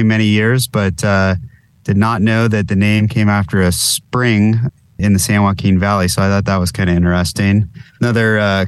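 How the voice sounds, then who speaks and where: Man, podcast host, low voice, dry close sound, faint music behind, filler-heavy casual chat. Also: clean tone, no echo.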